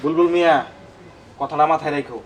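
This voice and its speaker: Man playing a menacing, quick-talking village uncle. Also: a little high, steady tone, distant mic, a little hiss or hum, lightly acted, rough recording. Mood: threatening. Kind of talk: village drama acting.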